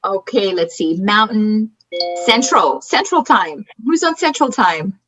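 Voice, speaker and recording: A woman's voice: mid-range voice, expressive, a little slow, fairly bright tone, soft background music. A woman co-hosting a conference on a video call, greeting attendees in a chatty, upbeat way. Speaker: conference co-host. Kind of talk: casual roll call greeting. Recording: dull, lo-fi video call audio.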